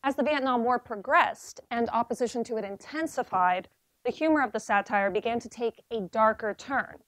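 A young woman in her twenties presenting a graduate thesis talk to an audience in a library seminar room, speaking in a measured, earnest way with pauses. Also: on the high side, fairly bright tone, no room sound.

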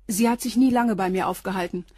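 An actress playing a respectable concierge of about forty in a radio crime drama, with a husky voice. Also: female voice, mid-range voice, fast talker, mellow, no echo, lightly acted. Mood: matter-of-fact. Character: female concierge around forty, smartly dressed, respectable.

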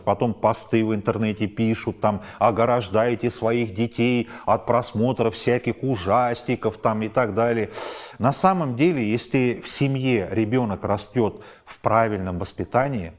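A man in his forties, a film director answering interview questions in an animated way, stacking examples with rising emphasis, recorded seated in a high-rise apartment at night. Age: 40s.

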